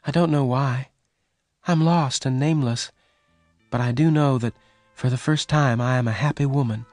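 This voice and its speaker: A man voicing a shy, lonely young woman who speaks softly. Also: mid-range voice, normal speed, no echo, faint music behind, pitched above the performer's own voice, natural voice. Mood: tender.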